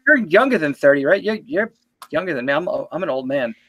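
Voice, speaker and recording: A man's voice: a little high, sing-song, fast, a bit bright, no echo. A man in his late thirties hosting a casual livestream chat, joking and self-deprecating. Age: 30s. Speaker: livestream show host.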